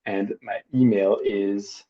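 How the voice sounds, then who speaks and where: Man, middle-aged, deep warm tone, conversational teaching, hesitant asides, lo-fi video call audio. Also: fairly even intonation, slow pace. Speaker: workshop instructor. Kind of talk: live online training demo.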